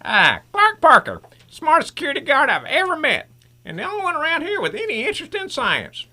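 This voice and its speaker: A woman's voice: high voice, huge pitch swings, brisk, bright, forceful tone, exaggerated voice. A woman playing a friendly, confident scientist and inventor in an old-time radio drama, chatty and encouraging. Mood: friendly, proud.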